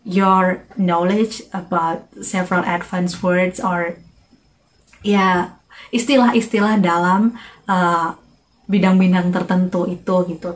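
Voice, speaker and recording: Chatty young woman vlogger giving tips. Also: medium pitch. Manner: chatty, many fillers, mixes in English phrases. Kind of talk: casual tips vlog.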